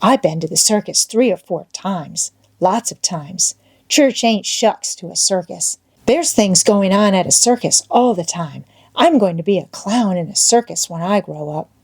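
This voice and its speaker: A woman's voice: mid-range voice, animated, moderate pace, darkish tone, breathy edge. A woman voicing an eager, boastful young schoolboy in love in an audiobook, with folksy old-fashioned rural American wording and a wheedling manner. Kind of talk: audiobook character voice.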